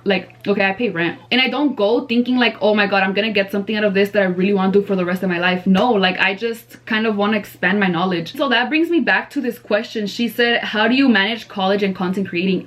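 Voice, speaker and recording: A woman's voice: mid-range voice, fairly bright tone. A nineteen-year-old woman chatting candidly while getting ready, answering viewer questions with lots of slang and "like". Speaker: vlogger. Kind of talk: casual get-ready-with-me Q&A chat.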